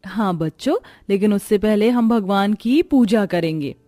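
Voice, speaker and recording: A woman playing a kind, dutiful poor mother and housemaid in a cartoon story, speaking gently and instructively. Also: mid-range voice, unhurried, dry recording, soft background music, close to an everyday voice. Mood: patient, gentle.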